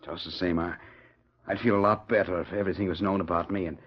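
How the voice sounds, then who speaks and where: An actor playing an anxious, humble reformed outlaw working as a bank guard in a 1940s radio western, earnest and hesitant. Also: male voice, low-pitched, fairly even intonation, fast talker, breathy edge. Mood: troubled. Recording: dull, muffled old 1940s radio recording.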